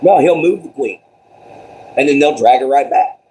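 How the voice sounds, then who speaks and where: A man's voice: expressive, normal speed, warm tone, slight background noise, rough recording. A man, chatting casually and rambling on a live stream from a phone propped on a table at home. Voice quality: booming voice. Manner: laid-back, rambling, apologetic. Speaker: livestreamer. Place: home room with kids and dogs.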